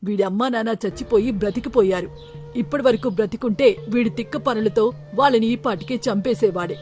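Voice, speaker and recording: A woman voicing a cruel, bad-tempered mistress of the house in an animated story, scolding with a harsh tongue. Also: on the high side, brisk, faint music behind, pitched above the performer's own voice, lightly acted. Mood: angry, scolding.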